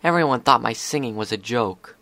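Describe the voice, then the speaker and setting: A man's voice: medium pitch, expressive, fairly quick, sharp tone, dry recording. A man voicing an anxious, downcast young female pony in a story reading. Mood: dejected.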